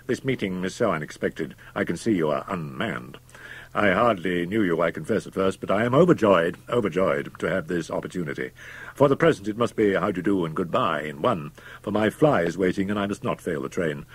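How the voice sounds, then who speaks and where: A man's voice: low-pitched, animated, fairly quick, slightly rough, no echo. A man voicing a pompous, uneasy elderly London doctor in a gothic audiobook, genteel and patronizing but flustered underneath. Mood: startled, flustered. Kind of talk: dramatized audiobook of a gothic tale.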